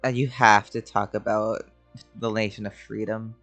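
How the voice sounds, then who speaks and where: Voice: mid-range voice, flat delivery, moderate pace, a bit bright, soft background music. A game streamer giving casual live reactions in short, murmured remarks while playing.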